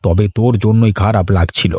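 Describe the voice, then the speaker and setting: A man's voice: lowish voice, fairly even intonation, a little fast, a little mellow, close to an everyday voice. A man voicing a frank, familiar older villager in a cartoon story. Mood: chatty, probing. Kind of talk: Bengali moral-story cartoon voice acting.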